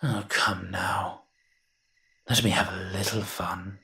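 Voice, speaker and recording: Grumbling low-voiced monster, acted by a man. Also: little pitch movement, takes it slowly, creaky, pushed and bright, full character voice. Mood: playful. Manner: grumbling, sardonic, dry. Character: grumbling, reluctant invisible male monster, secretly soft-hearted. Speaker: voice actor in an audio roleplay. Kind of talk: scripted character monologue, audio roleplay.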